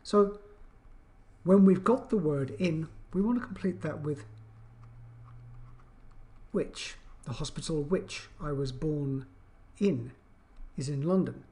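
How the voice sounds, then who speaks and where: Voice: medium pitch, slow pace, long pauses, dark tone. An English teacher giving an explanatory video lesson with an emphatic, questioning, teacherly delivery.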